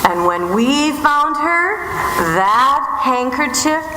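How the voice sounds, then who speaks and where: A woman's voice: sits high, sing-song, slow pace, full character voice. A woman playing an anxious, genteel society lady in an old-time radio mystery, fluttery and dramatic. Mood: earnest. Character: flustered society woman, anxious about scandal. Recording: echoey live hall, noisy.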